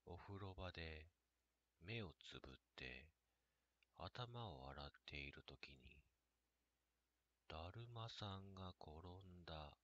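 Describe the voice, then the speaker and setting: A man with a low voice narrates a spooky rumour. He reads very slowly and deliberately. The recording is dull and lo-fi. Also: expressive, a bit bright. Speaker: horror story narrator.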